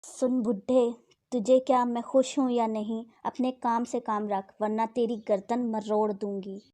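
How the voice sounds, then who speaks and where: A woman's voice: fairly high pitch, little pitch movement, a little slow, warm tone, natural voice. A woman voicing a menacing, rude young witch wife in a horror cartoon, speaking in an imperious, threatening way.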